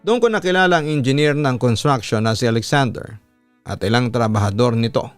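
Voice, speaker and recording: A man's voice: mid-range voice, fairly quick, clear, resonant tone, no echo. A man with a Filipino accent reading a listener's letter as scripted narration, like a radio announcer telling a story. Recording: music playing behind the voice.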